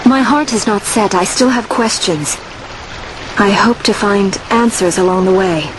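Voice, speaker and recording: An actress voicing a proud young princess of a fallen kingdom, speaking in formal, dignified sentences. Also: female voice, mid-range voice, a little slow, background noise, lightly acted. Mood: reserved. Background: orchestral score.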